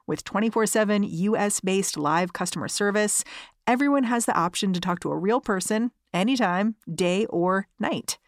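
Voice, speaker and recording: A woman's voice: medium pitch, moderate pace, no room sound, studio quality. A woman reading a scripted sponsor advertisement. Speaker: ad reader.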